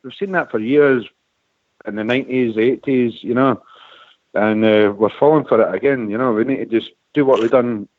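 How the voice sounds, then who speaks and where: A man's voice: mid-range voice, moderate pace, dry recording. Edinburgh man, about forty, phone-in caller; hesitant, rambling, tinny dry phone line. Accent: Scottish (Edinburgh). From Scotland, Edinburgh. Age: 40s. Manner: rambling, hesitant, many restarts and "eh". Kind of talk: phone-in call to a fan radio show.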